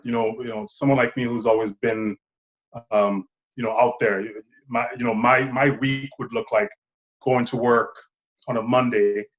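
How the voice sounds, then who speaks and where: A man's voice: mid-range voice, dull audio. A man in his thirties from Saint Lucia, a filmmaker guest talking thoughtfully and rambling a bit. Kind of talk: casual interview chat.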